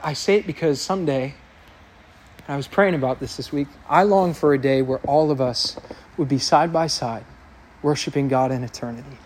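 A man preaching to his congregation in a church, his voice loud and somewhat high for a man. He speaks earnestly and with emphasis, building to impassioned peaks. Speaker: pastor. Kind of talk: sermon.

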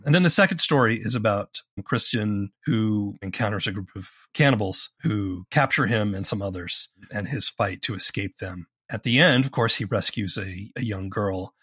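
A man's voice: lowish voice, moderate pace, few pauses, bright, forceful tone, slightly rough, dry recording. A man hosting a podcast, asking questions in a conversational interview style.

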